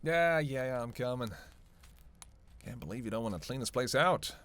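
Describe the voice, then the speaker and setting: A man's voice: medium pitch, expressive, moderate pace, fairly bright tone, clean tone, close to an everyday voice. A man playing a flirty, sardonic vampire in a roleplay audio drama, with casual, teasing, profanity-laced banter. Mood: playful, flirty. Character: flirty, sardonic male vampire on a road trip.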